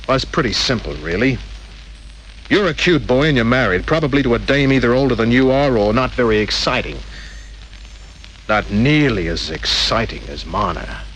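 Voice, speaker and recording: A man's voice: medium pitch, lively intonation, normal speed, a bit bright, close to an everyday voice, poor audio. A man playing a cool, shrewd private detective in an old-time radio drama, with a wry, terse tough-guy delivery. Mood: confident, needling. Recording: old radio recording with faint hiss.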